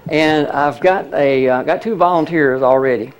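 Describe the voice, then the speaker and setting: Man, seminar presenter, fairly high voice, chatty, explains step by step, speaks to a live audience. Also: smooth, low-quality recording. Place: church hall.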